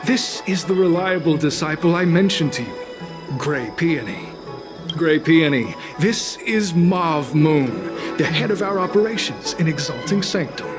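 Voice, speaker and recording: A deferential cult follower in a video game drama. His voice sits a little high and he speaks with formal, servile care. Music runs underneath. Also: expressive, a little slow. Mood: formal, respectful. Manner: obsequious, formal. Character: deferential male cult underling, nervous before his superior.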